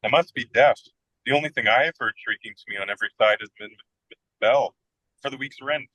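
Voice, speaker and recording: A whimsical young American writer in a radio comedy, acted by a man. The voice is very bright and forward, recorded dry and close. He talks in wry, theatrical flourishes and happily pokes fun at himself. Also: medium pitch, fairly quick, dry recording, low-quality recording. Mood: rueful, teasing. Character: self-deprecating, whimsical American male writer of twenty-six. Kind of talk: radio play comedy dialogue.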